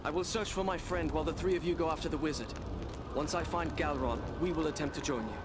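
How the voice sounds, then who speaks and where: An actor playing a solemn, dutiful elf warrior in a fantasy game, speaking formally and earnestly. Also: male voice, a little high, heavy background noise, natural voice. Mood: earnest, worried. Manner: formal, solemn, measured phrasing. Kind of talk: fantasy game character acting.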